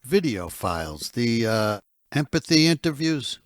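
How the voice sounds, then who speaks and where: A man's voice: medium pitch, very animated, measured pace, dry recording, studio quality. An actor playing a dutiful henchman in an audio drama, reporting in a matter-of-fact, slightly hesitant and deferential way. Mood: careful. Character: dutiful male henchman reporting to his boss.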